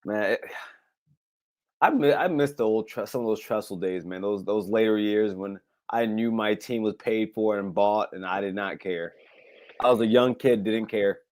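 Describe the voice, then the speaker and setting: A man's voice: fairly low pitch, normal speed, darkish tone. A man in his thirties co-hosting a sports talk show, stammering and joking. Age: 30s. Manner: stammering, jokey. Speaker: podcast co-host.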